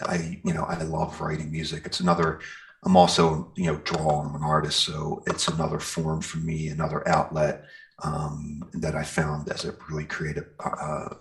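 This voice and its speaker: A man's voice: a bass voice, creaky, a bit bright. A middle-aged man chatting casually as an interviewed musician, rambling and enthusiastic in an informal way. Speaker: metal singer and guitarist being interviewed.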